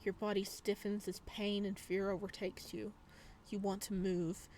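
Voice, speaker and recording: A woman at an average pitch reads story text from a visual novel aloud. She is a gaming YouTuber who adds casual commentary as she goes. Faint noise and game ambience sit behind her voice. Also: level tone, measured pace, darkish tone.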